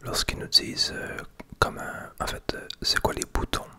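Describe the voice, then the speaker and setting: Quebec guy whispering asmr game commentary, all breath no voice, bright crispy hiss, gentle and unhurried. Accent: Québécois French. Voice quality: soft unvoiced whisper. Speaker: video game streamer making ASMR gameplay videos.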